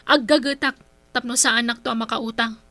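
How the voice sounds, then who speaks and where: An actress with an Ilocano accent playing a hardworking, determined young daughter in a radio drama, earnest and emotive. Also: female voice, a little high, steady tone, fairly quick, pushed and bright, dry recording. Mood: hurt, defiant. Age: young adult.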